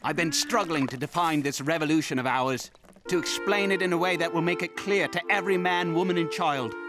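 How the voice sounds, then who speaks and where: A man voicing a fiery, idealistic revolutionary writer in an animated series, blunt and rhetorical. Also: a little high, brisk, lightly acted. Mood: impassioned. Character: fiery, idealistic, ragged male revolutionary pamphleteer. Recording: music behind the voice.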